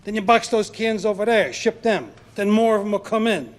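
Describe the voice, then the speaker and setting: In a big reverberant space, a high-voiced male boss, played by an actor, hands out orders. He is brisk, emphatic and repetitive, like a scene from a film. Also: expressive. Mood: brisk, instructing. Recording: long echoing reverb of a large space.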